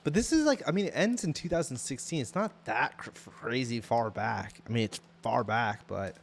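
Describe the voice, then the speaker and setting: A man's voice: mid-range voice, animated, normal speed, vocal fry, slight background noise. A man with an American accent chatting casually as a podcast co-host, rambling through a TV show review with slangy emphasis.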